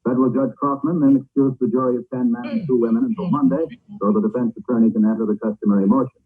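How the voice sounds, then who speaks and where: An old, narrow-band radio recording of a man reading news in 1940s style. His voice sits at an average pitch with a husky haze, and his delivery is formal and declarative. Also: steady tone, quick pace, soft background music. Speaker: radio newscaster.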